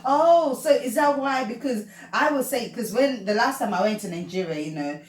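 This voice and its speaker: A young woman hosting a casual chat, talking in quick questions and laughing often. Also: fairly high pitch, animated. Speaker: host. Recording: roomy, from far off.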